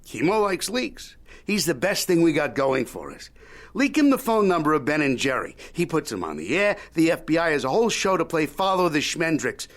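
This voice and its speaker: Wry old mogul, swooping delivery. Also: male voice, on the high side, big pitch swings, normal speed. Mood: scheming. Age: older. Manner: wry, needling, Yiddish-peppered wheeling and dealing. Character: shrewd, folksy old media mogul, haggling showman. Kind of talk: audio drama acting.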